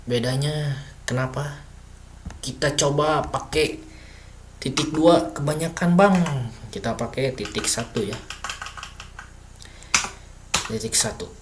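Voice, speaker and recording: A man's voice: medium pitch, unhurried, a little hiss or hum. A man teaching basic coding in a casual screen-recorded lesson, thinking aloud with many fillers and self-corrections. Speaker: self-taught coding tutor.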